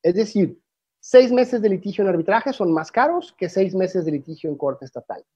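A man's voice: high voice, lively intonation, normal speed, warm tone, husky, no room sound. Portuguese-accented man giving a dry lecture. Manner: explanatory, with frequent restarts and fillers. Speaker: arbitration lecturer. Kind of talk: webinar presentation. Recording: dry, dull lo-fi sound.

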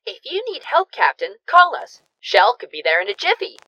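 A woman's voice: a little high, huge pitch swings, moderate pace, pushed and bright, no room sound, heavily acted. A woman playing a perky, teasing young starship crew member in an audio drama. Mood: eager. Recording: dry, slightly dull recording.

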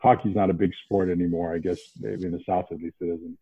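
A man from around Boston, a podcast guest, talking in a casual animated way with many restarts. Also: deep voice, quick pace, breathy edge, no echo. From New England, outside Boston. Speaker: podcast guest, investment banker. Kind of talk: casual interview talk. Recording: tinny phone-like line.

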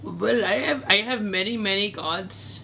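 A woman's voice: mid-range voice, a little slow, vocal fry, bright, forceful tone, a little hiss or hum. A woman voicing a chatty Indian-American woman from New Jersey, speaking in an Indian accent with broken-English phrasing. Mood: giddy. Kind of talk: improv character interview.

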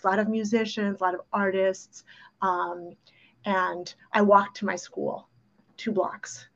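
Dull-sounding recording of an American woman storytelling. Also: medium pitch. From New York City, USA. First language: Ukrainian. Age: 50s. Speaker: podcast guest. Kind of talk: casual personal storytelling.

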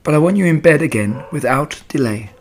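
A cool, collected mother-like lady spider from a storybook, acted by a man, in a raised, slightly husky pitch. She is comforting and measured, and gently firm. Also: lively intonation. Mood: gently instructing. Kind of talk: children's storybook character voice.

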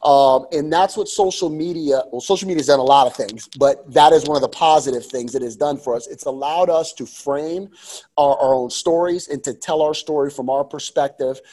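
A man's voice: a little high, brisk, warm tone. A man in his forties speaking as a guest coach in a casual interview, enthusiastic and emphatic, repeating phrases to drive them home. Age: 40s.

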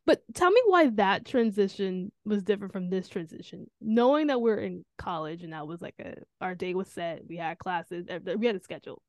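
A woman's voice: medium pitch, animated, moderate pace, hardly any pauses, a little mellow, no echo. A woman in her twenties co-hosting a podcast, talking reflectively with lots of fillers and asking questions. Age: 20s. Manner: reflective, many fillers, asks the other host questions. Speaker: podcast co-host. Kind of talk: casual chat.

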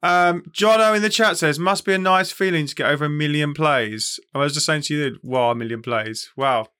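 Man, chat show host, informal, slangy, fairly high voice, bright forward tone. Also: brisk, clean tone. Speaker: interview host. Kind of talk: casual livestream interview chat.

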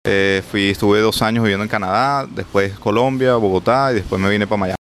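Calm low-voiced man. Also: a little hiss or hum. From Venezuela. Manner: calm, serious, measured. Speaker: guest, business partner.

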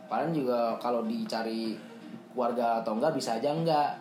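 A man's voice: medium pitch, quick pace, darkish tone. A man hosting a podcast, chatting casually in slang and prompting his guest with questions. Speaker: podcast host. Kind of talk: casual interview chat. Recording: echoey room, faint music.

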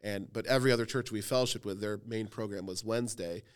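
A low-voiced man in his thirties, smooth and clear, chatting easily as a youth pastor on a church podcast. He talks loosely and warmly about himself, full of little fillers and wry self-deprecating asides. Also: fairly even intonation, quick pace. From Cleveland, Ohio. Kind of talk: casual podcast conversation.

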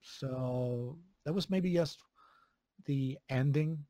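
A man from Sweden with a Swedish accent, chatting casually as an interview guest, relaxed, with many fillers and chuckles. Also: mid-range voice, slow, dry recording. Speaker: video game composer, guest.

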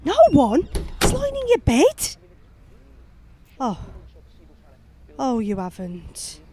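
A woman's voice: sits high, wildly swooping pitch, drawn-out words, warm tone, slight background noise, full character voice. A woman playing a nagging, exasperated middle-aged mother in a fan-made audio drama. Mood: shocked. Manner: scolding, colloquial.

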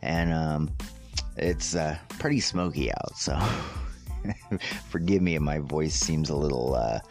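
A man hosting a casual solo podcast about news stories, with a slightly raspy voice and a halting, amused way of talking. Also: rumbling low, a little mellow, soft background music. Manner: halting, pausing mid-sentence; amused, chuckling as he talks. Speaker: podcast host.

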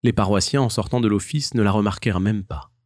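A man narrating a scripted children's story as an expressive storyteller. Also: lowish voice, fast talker, no echo, clean studio sound.

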